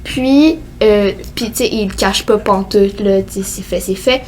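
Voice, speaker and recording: A woman's voice: medium pitch, measured pace, darkish tone, a little hiss or hum. Chatty Québécois teen girl, lots of fillers. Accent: Québécois French. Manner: rambling, many fillers like "genre" and "euh". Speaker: co-host. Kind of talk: casual podcast chat.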